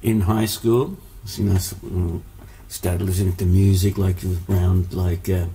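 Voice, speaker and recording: A man's voice: sits low, steady tone, a little slow, mellow. A man, born in Hungary, telling stories as a musician guest in a casual interview, rambling with many fillers and restarts.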